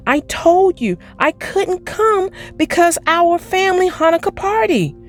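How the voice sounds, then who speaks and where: A woman's voice: very high-pitched, expressive, unhurried, pitched above the performer's own voice. A woman voicing an exasperated young schoolgirl in a children's storybook, insistent and plain-spoken as she stands her ground. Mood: hurt, firm.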